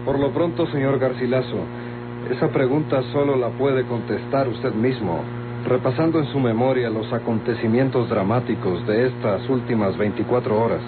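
A man's voice: medium pitch, close to an everyday voice. Man playing a stern Mexican lawman, muffled old radio. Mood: accusing. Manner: formal, measured, pointed. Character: calm, stern, suspicious male lawman. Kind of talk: Mexican golden-age radio drama acting. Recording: muffled vintage radio, loud hum and noise.

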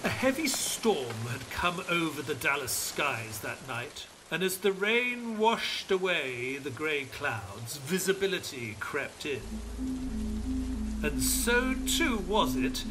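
A man playing an earnest detective's sidekick who tells the story in an audio drama, in a measured, descriptive way. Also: a little high, big pitch swings, loud music behind the voice, poor audio. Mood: measured, narrating. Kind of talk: audio drama narration and dialogue.

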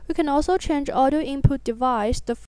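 A woman reading a scripted software tutorial in an instructional, step-by-step manner. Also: high-pitched, brisk, no room sound.

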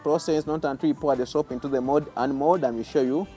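A Ugandan man in his thirties runs an outdoor training class. He walks through each step plainly and patiently. His voice is mellow and dark, but pitched slightly high. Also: fairly even intonation, fast talker, faint music behind. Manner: instructive, explaining step by step. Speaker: soap-making trainer. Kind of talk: outdoor safety demonstration. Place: outdoors by a metal gate.

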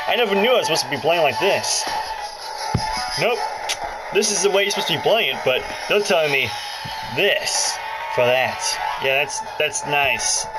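Animated man doing casual game commentary. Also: on the high side, rough recording. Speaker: let's play commentator. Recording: loud game music over the voice. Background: handheld game console's loud music and sounds.

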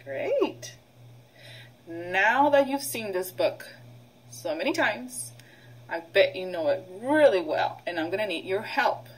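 A middle-aged woman, a preschool teacher, leading a read-aloud vocabulary lesson in an instructional way, pausing for children to repeat. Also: mid-range voice, lively intonation, husky, poor audio. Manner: instructional, prompting with pauses for repetition.

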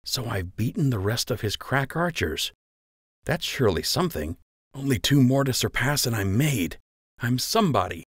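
A man's voice: medium pitch, sing-song, normal speed, slightly rough, dry recording, clean studio sound, voice pushed up. A man voicing an ambitious young rabbit archer's earnest inner thoughts in an audiobook. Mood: determined, self-urging. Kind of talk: audiobook character voice.

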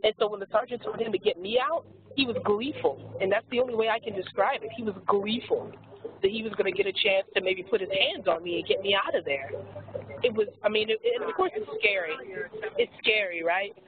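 A woman speaking as an activist on a webinar panel, hoarse from losing her voice, emphatic and earnest with frequent restarts. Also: mid-range voice, lively intonation, brisk, a crowd in the background. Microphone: telephone handset. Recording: tinny phone line with faint hiss.

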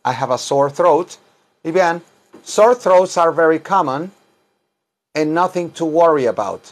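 Slow-paced middle-aged man teaching. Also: a little high, expressive. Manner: informal, repetitive, dictating phrases word by word. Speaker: English teacher and self-styled chaplain. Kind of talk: bilingual English lesson in Spanish and English. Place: small wood-paneled room indoors.

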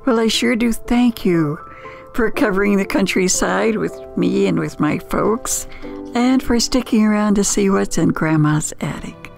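An older woman with an American accent hosts nostalgic storytelling. Her voice is heavily creaky and her delivery slow and folksy, with emphatic pauses. Soft music plays behind her. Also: medium pitch. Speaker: storyteller and host.

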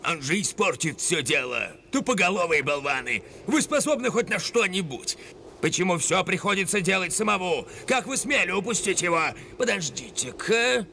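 A man's voice: high-pitched, lively intonation, moderate pace, gravelly, pushed and bright, a little hiss or hum, heavily acted. A man voicing a scheming, scornful wolf-like cartoon villain, bossy and theatrical in delivery. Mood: exasperated. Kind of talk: dubbed cartoon acting. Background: dramatic music.

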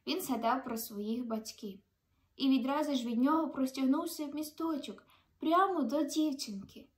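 A woman's voice: high voice, moderate pace. A young woman reading a children's fairy tale aloud expressively, as a storyteller. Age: young adult. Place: indoors in a room, seated.

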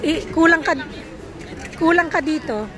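A woman's voice: very high voice, lively intonation, normal speed, music in the background. A middle-aged woman with a Filipino accent, chatting casually and cheerily to a handheld camera as a vlogger. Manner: chatty, laughs easily. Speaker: family vlogger. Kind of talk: casual vlog chat, mixing Tagalog and English. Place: outdoor shopping plaza. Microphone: handheld phone camera. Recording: busy public crowd chatter behind.

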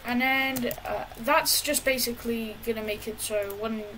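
A woman casually talking through a building tutorial over gameplay, halting often with fillers and restarts. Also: fairly high pitch, steady tone, a bit bright, a little hiss or hum, rough recording. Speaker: gaming tutorial creator.

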